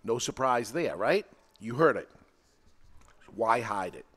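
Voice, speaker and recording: A male podcast host with a New England accent, recorded live in a podcast studio. He talks in a plain, energetic way and drives each point home. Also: mid-range voice, slow pace, slightly rough.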